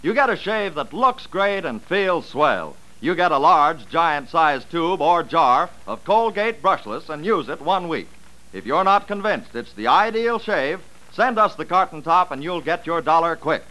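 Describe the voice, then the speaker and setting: Male ad announcer, American, vintage radio, animated and forceful, salesmanlike. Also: on the high side, unhurried, rough recording. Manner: punchy, salesmanlike. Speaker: commercial announcer. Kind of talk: 1940s radio ad pitch.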